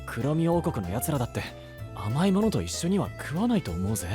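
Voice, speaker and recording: A man's voice: a little high, sing-song, fairly quick, music in the background. An actor playing a proud, awkward but kindhearted young man in an anime-style voice drama, blunt and easily flustered. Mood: awkward concern. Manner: blunt, rough casual speech, easily flustered.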